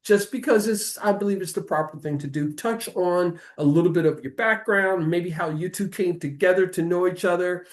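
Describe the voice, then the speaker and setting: An American man speaks as the host of an arts show, with a fairly high-pitched voice. He is earnest and wordy, laying out his points in deliberate, conversational phrases.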